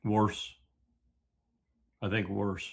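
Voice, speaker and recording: A man's voice: on the low side, little pitch movement, very slow, gravelly, slightly rough. An older man giving political commentary as an interview guest.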